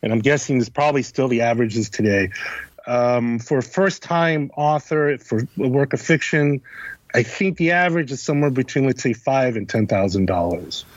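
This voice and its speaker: A man's voice: mid-range voice, moderate pace, no echo. Dry, slightly muffled man explaining things conversationally. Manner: emphatic, repeats himself, often checks with "you know" and "right?". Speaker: podcast guest, critic and editor.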